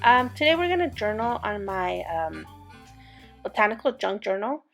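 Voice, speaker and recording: A woman's voice: medium pitch, sing-song, moderate pace, vocal fry, faint music behind. A woman with an accent hosting a casual crafting tutorial, chatty and rambling with many fillers and restarts. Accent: non-native English accent. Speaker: crafting channel host.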